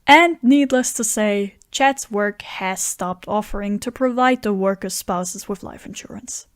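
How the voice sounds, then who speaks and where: A woman's voice: medium pitch, a little slow, dry recording, natural voice. A woman playing an earnest, scheming friend who narrates an audio drama tale. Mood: reflective narration. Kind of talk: audio drama dialogue and first-person narration.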